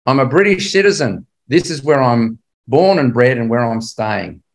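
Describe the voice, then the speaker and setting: Male Bible class teacher, older, average pitch; explains history conversationally, leaning on key words and quizzing listeners. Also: expressive, normal speed, gravelly. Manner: conversational, emphatic, puts rhetorical questions to listeners. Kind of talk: illustrated history lecture to a religious class.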